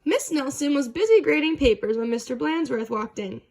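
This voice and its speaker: A woman's voice: high voice, expressive, moderate pace, darkish tone. A woman reading a children's storybook aloud as a narrator.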